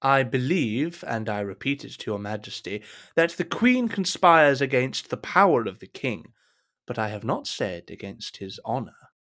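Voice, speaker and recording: A man's voice: mid-range voice, big pitch swings, normal speed, fairly bright tone. An actor playing a scheming, deferential cardinal advising a king in a period audio drama, with a formal, insinuating, courtly manner. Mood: measured, sly.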